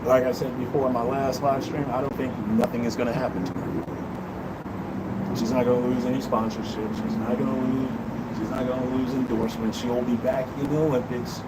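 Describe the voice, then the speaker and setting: A blunt, preachy man in his fifties talks straight to his livestream viewers from an outdoor city balcony. His voice sits at an ordinary middle pitch. Heavy street and traffic noise runs loudly behind him. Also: fairly even intonation, normal speed, pauses often. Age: 50s. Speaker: livestream host. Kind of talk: blunt opinion livestream monologue.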